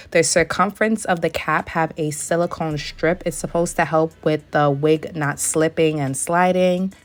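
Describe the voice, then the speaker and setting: A young woman with a slightly hoarse voice, still recovering, walking through a wig review and install step by step. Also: sits low. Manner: step-by-step explaining, relaxed. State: hoarse, recovering from losing her voice. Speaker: beauty vlogger reviewing a wig. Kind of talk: product review voice-over.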